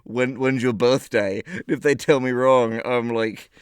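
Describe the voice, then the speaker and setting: A man's voice: mid-range voice, steady tone, unhurried, clean tone, no room sound, clean studio sound. A man hosting a casual comedy podcast, bantering and reading posts aloud in a sweary, mock-exasperated way. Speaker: podcast host.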